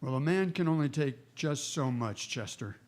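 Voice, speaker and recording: A man with an American accent playing a steady, terse Old West marshal in a radio western. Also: mid-range voice, moderate pace, lightly acted. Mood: matter-of-fact.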